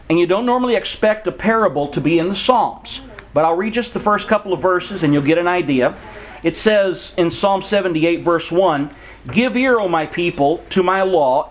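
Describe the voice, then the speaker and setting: A man who grew up in Mexico, preaching an earnest, encouraging sermon to young people and reading scripture aloud. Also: sits high. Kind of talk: sermon to missionary kids.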